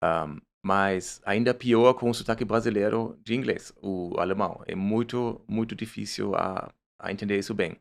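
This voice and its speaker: A man's voice: fairly low pitch, moderate pace, gravelly, hardly any pauses. A man from Germany speaking with a German accent, a startup founder answering interview questions in a hesitant way, often repeating words and mixing in English. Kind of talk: casual interview talk.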